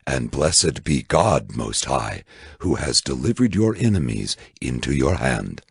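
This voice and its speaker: A solemn, ancient priest-king from a scripture story. The voice is extremely deep, husky and gravelly. The blessing comes slowly and gravely. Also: sing-song, dry recording, studio quality, heavily acted. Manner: slow, solemn. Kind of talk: dramatized scripture reading.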